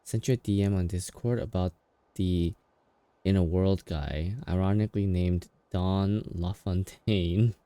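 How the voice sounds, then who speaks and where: A man's voice: sits low, steady tone, unhurried, mellow, dry recording. A man streaming a game, giving casual live commentary in an offhand, reactive way.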